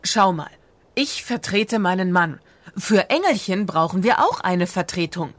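A woman's voice: fairly low pitch, animated, measured pace, a bit bright, no echo. A woman playing Santa's harried, pragmatic and grumbling wife in a fairy-tale radio play. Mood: doubtful, insistent.

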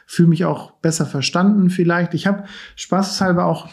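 A man's voice: sits high, fairly even intonation, normal speed, gravelly, a little mellow. A man hosting a podcast, asking long, chatty questions in a casual tone. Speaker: podcast host. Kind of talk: casual interview chat.

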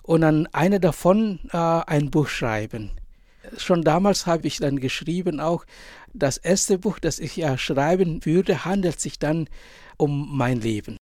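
A man in his forties from Indonesia, a priest and author, answering interview questions in German with an Indonesian accent, pausing often and searching for words. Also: a little high, lively intonation, a little mellow, dry recording, studio quality. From Indonesia, island of Sumba. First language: Indonesian language. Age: 40s. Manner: halting, many pauses and fillers, searching for words.

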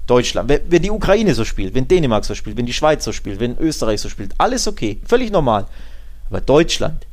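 A man's voice: medium pitch, sing-song, a little fast, darkish tone. Emphatic man talking football. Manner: opinionated, emphatic, colloquial, builds points through repetition. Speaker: football podcast co-host. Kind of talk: casual football discussion.

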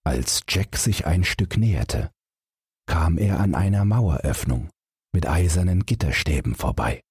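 A low-voiced man narrates a bedtime fairy tale. His voice is very raspy and he takes his time, telling the story in a measured, dramatic way. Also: lively intonation, darkish tone, no echo, studio quality. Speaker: audiobook narrator.

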